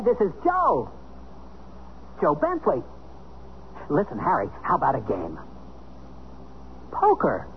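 Flustered husband, lively high-set voice. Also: male voice. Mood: forced cheer. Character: flustered, stubborn husband newly split from his wife. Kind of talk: 1970s radio comedy-drama acting. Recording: dull, noisy 1970s radio broadcast.

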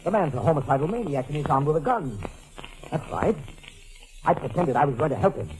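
An actor playing a nervous, treacherous false friend, earnest and urgent in 1940s radio mystery style. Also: male voice, a little high, big pitch swings, fairly quick, a little mellow, rough recording. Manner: earnest, urgent, faltering under pressure.

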